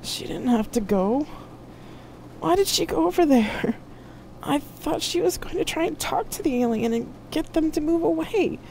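A woman voicing a grief-stricken young male starship captain in a dramatic sci-fi audiobook, over clear background noise. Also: mid-range voice, unhurried, a little mellow, pitched above the performer's own voice, close to an everyday voice, low-quality recording. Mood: grieving, bitter.

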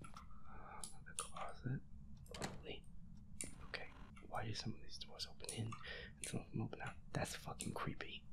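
A young man giving casual gameplay commentary on a horror game, swearing and muttering jitterily in a hushed, breathy voice. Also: on the low side, little pitch movement, normal speed, pauses often, poor audio. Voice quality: breathy, hushed, often whispering. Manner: profane, jittery, muttering to himself and at the game's ghost. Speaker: gamer playing a horror game.

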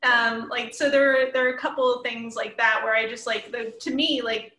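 A woman in her thirties chatting casually as a panel guest, talking in an animated way with laughter in her speech. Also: on the high side, normal speed. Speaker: comics creator and panel guest. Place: indoors at home, room with bookshelf, video call. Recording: echoey room, shared mic.

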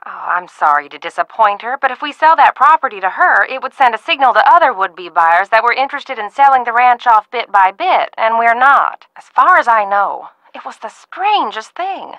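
A woman acting a kindly, fretful middle-aged ranch-owner aunt in a video game, talking in a folksy, motherly, expressive way. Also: fairly high pitch, moderate pace, hardly any pauses, no echo, lo-fi sound. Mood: explaining.

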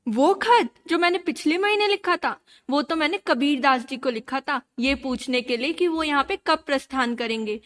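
A woman playing an earnest, gentle young princess steadfast in her devotion, in a radio drama. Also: high voice, moderate pace, hardly any pauses. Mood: earnest, pleading. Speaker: actor in a student radio drama. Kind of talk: radio drama acting in Hindi.

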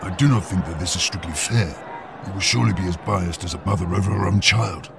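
A man acting a stiff, formal comic-drama sportsman. His low, gravelly voice moves quickly and precisely over noisy outdoor ambience. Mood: protesting. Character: stiff, formal, protesting male player.

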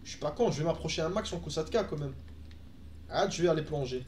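High-voiced chatty Belgian man. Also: expressive, quick pace, a little mellow, echoey room. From Belgium, Antwerp. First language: Dutch. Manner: chatty, answers chat, frequent fillers and restarts. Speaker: gaming streamer. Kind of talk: casual live-stream chat while playing. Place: room at home, indoors.

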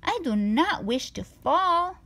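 Lady doing a bossy worried storybook fish, high voice, scolding and indignant. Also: very animated, takes it slowly, sharp tone, heavily acted. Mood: protesting. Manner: indignant, protesting. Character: fussy, scolding, worried little pet fish.

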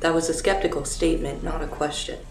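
A woman voicing a dry, formal, sarcastic alien woman in a fan dub, speaking precisely and with deadpan exasperation. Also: low voice, quick pace, natural voice. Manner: precise, deadpan, stilted wording. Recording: roomy echo, clear background noise.

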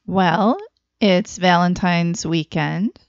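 A woman gives short, deadpan answers in a slow voice with heavy fry. She chats casually on a dry studio recording. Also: on the low side, dry recording. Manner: terse, deadpan. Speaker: podcast co-host. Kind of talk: casual chat. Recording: dry, slightly dull lo-fi studio sound.

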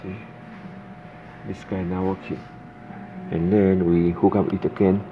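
A man presenting an unscripted hands-on electronics demonstration, halting and repeating words, in non-native, broken phrasing. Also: low voice, flat delivery, narrow, tinny audio, slight background noise. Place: indoors at a desk with audio gear. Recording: music playing behind the voice. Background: music from the demonstrated audio player.

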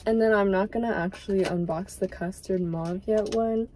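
A young woman chatting casually to her camera from inside a parked car, relaxed and full of ums and likes. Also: medium pitch, normal speed, slight background noise. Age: young adult. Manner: relaxed, filler-heavy, trailing off. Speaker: vlogger. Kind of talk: casual vlog chat.

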